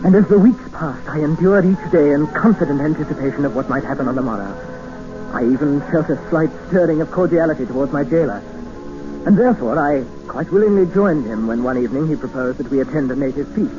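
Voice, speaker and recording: An actor playing an educated English captive, narrating and speaking in an earnest, formal, dramatic 1950s radio style. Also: male voice, on the high side, fast, natural voice. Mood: grave, reflective. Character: desperate, educated male English captive expedition member, narrating. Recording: muffled old radio recording with music.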